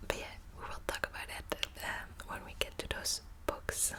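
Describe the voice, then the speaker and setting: A woman whispering close to the mic in an ASMR book video, hesitant with many ums and restarts, also reading passages aloud. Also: normal speed. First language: Dutch. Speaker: ASMR creator.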